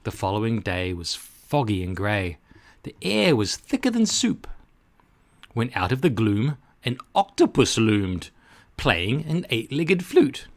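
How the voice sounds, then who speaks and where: Very expressive man reading a storybook. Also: mid-range voice, measured pace. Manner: rhythmic rhyming delivery. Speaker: storybook reader. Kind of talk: expressive scripted narration.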